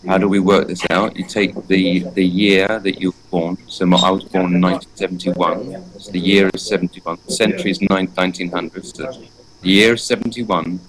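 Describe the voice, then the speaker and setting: A man's voice: low voice, little pitch movement, a little fast, crowd noise, poor audio. Earnest rambling man in his forties. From England. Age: 40s. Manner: earnest, rambling, many fillers and restarts. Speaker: guest, energy painter. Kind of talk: casual spoken interview.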